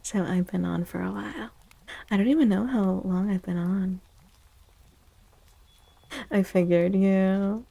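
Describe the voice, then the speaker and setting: Female ASMR streamer: slow, gentle, breathy half-whisper with vocal fry, soothing and affectionate. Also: medium pitch, fairly even intonation, dry recording. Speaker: livestream host doing tarot readings. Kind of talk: soft-spoken ASMR livestream chat.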